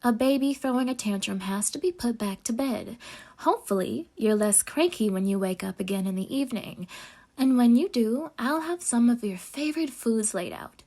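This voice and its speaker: A woman acts out a yandere girlfriend for an intimate audio roleplay. Her voice is fairly high, with plenty of fry and a breathy, husky edge. She coos with possessive sweetness and sinks into menacing near-whispers. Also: expressive, moderate pace, warm tone. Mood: sugary, controlling. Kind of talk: intimate ASMR roleplay acting.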